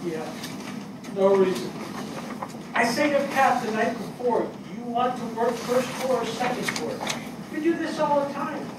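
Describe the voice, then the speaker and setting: A man's voice: extremely high pitch, distant mic. Older man acting a grieving fire captain, echoey. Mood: bewildered grief. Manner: plain-spoken, halting, searching. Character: grieving fire captain, plain-spoken and bewildered. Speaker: actor in a staged play reading. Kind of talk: dramatic stage reading. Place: small indoor theatre stage before an audience. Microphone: distant camera mic. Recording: echoey stage picked up from afar, noisy.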